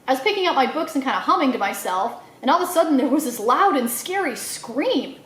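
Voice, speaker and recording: A woman reading a children's novel aloud in an expressive storytelling style, acting out the dialogue as she goes. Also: high-pitched, fairly quick, roomy sound. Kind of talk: animated read-aloud narration for children.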